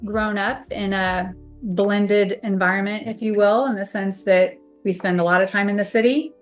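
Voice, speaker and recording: A middle-aged American woman speaks as an interview guest, introducing herself in a conversational way. Her voice sits at a medium pitch for a woman. Music plays faintly behind her. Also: steady tone, normal speed. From Kansas, United States.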